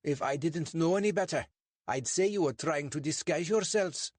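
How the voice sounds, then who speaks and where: A man voicing a portly, suspicious English constable who questions in a sharp, demanding way. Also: on the high side, moderate pace, dry recording, voice pushed up, lightly acted.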